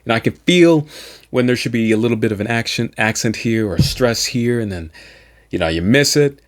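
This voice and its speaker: A man reading aloud and chatting as an amateur host, halting and self-deprecating in manner. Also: mid-range voice, expressive. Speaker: amateur audiobook reader and channel host. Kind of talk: narrated novel reading and casual vlog chat.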